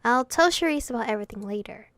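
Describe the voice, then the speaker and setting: Woman voicing a soft, anxious young male vampire. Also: fairly high pitch, lively intonation, fast talker, no echo. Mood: worried.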